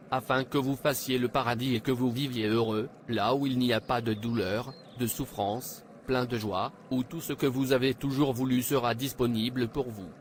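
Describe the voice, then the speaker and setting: An earnest preacher , played by a man, delivers solemn, pleading warnings in a cartoon sermon style. The pitch is medium, and there is noticeable background noise. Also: close to an everyday voice. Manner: solemn exhortation.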